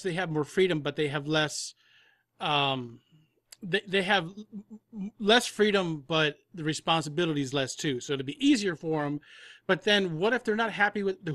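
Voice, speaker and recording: A man's voice: on the high side, a bit bright, dry recording. A man talking casually as a guest on a podcast, in the role of an audio drama producer.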